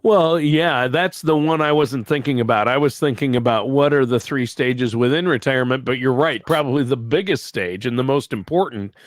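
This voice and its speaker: A man's voice: mid-range voice, normal speed, hardly any pauses, dry recording, clean studio sound. Man co-hosting a talk show. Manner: conversational, prompting questions. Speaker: co-host. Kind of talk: casual radio-show talk.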